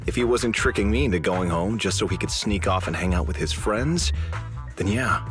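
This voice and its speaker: A man voicing a formal, dry male public security officer in an English game dub, speaking in a measured, politely pointed way. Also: deep voice, soft background music, natural voice. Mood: dryly teasing.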